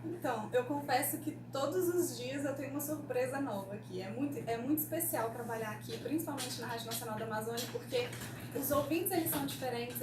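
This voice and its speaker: A young Brazilian woman, a radio producer, talking casually and personally in an interview. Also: high voice. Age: young adult. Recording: echoey room, distant mic, background noise.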